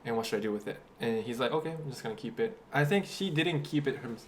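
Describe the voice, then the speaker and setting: A man's voice: mid-range voice, expressive, fast talker, a little hiss or hum. Chatty young man. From Japan. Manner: chatty storyteller, many "like" fillers. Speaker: guest. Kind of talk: casual chat.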